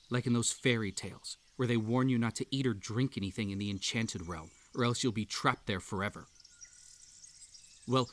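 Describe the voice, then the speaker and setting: A man playing an obsessive, unhinged magical hare hosting a tea party in a roleplay audio, with a theatrical, halting delivery that swings between sweet coaxing and menace. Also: medium pitch, close to an everyday voice. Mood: coaxing, needy. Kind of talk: roleplay audio acting, intimate second-person address.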